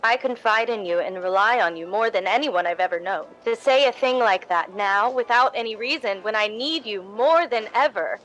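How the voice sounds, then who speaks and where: Woman as young ambitious stage actress: falsely sweet schemer, insinuating, mid-pitched, radio-play delivery. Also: moderate pace, hardly any pauses, a bit bright, soft background music, close to an everyday voice, low-quality recording. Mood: defensive, pleading. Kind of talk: recreated 1950s radio drama acting.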